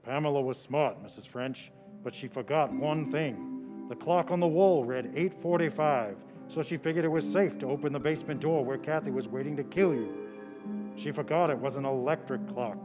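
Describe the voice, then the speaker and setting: A man playing a calm police officer. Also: normal speed, big-room sound, muffled recording, music in the background, close to an everyday voice. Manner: low-key explaining. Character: a calm, matter-of-fact male police officer. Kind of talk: 1940s radio drama recreation.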